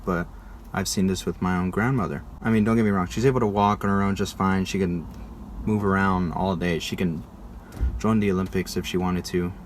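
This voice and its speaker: A man's voice: low voice, slight background noise. A young American man presenting to viewers in an informal, conversational way, like a casual vlog narration. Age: young adult. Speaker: video creator presenting a school project.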